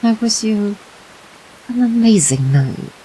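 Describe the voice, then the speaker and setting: Slow, mellow woman reading bedtime tales. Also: mid-range voice, lively intonation, a little hiss or hum, rough recording. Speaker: streamer reading fairytales. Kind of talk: bedtime fairytale narration.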